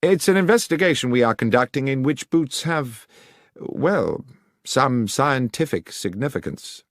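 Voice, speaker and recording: A man's voice: medium pitch, lively intonation, measured pace, no echo, studio quality, voice pushed up. A man voicing a confident, theatrical detective in an audiobook, with a precise, declamatory and assured delivery. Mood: assured, probing. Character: confident, theatrical male detective explaining his deductions.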